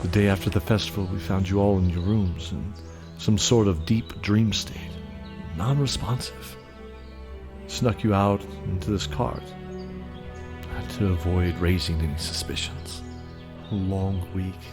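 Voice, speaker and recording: A man voicing a gentle, fatherly older man in an audio drama, soft, soothing and hesitant. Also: deep voice, fairly even intonation, unhurried. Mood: relieved, subdued. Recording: music behind the voice.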